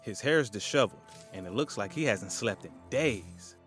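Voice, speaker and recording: A man's voice: lowish voice, expressive, moderate pace, fairly bright tone. A man narrating a scripted audio drama as its storyteller. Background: faint music.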